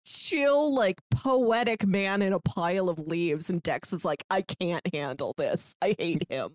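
A woman's voice: lowish voice, measured pace, no room sound, studio quality. A woman co-hosting a podcast in casual chat, retelling things expressively and acting out quotes as she goes. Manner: animated retelling, acts out quotes. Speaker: podcast co-host.

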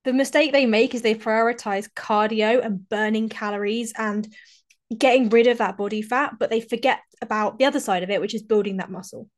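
A woman's voice: fairly high pitch, fairly even intonation, a little fast. Chatty woman with a slight cold. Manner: chatty, enthusiastic, repeats and restarts phrases. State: slightly congested, recovering from a cold. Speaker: podcast host and fitness coach. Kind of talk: solo podcast talk.

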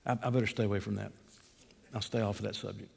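Southern preacher in a reverberant hall. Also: male voice, vocal fry, husky. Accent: Southern US (Georgia). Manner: animated, rising to emphatic peaks, drops low and slow for scripture. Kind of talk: expository sermon.

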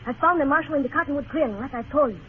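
A woman playing a scheming frontier temptress with a very high voice. She talks fast in vintage radio drama style. The sound is thin, like an old broadcast. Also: narrow, tinny audio, slight background noise, lightly acted. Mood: defensive, scornful. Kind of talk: 1950s radio drama acting.

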